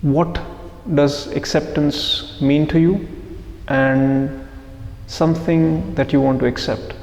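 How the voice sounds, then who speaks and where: A man leading a guided meditation session for a group, speaking in measured, deliberate phrases with pauses. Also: fairly high pitch, fairly even intonation, vocal fry, a little mellow. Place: echoey indoor room, live group session. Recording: echoey room with long reverb.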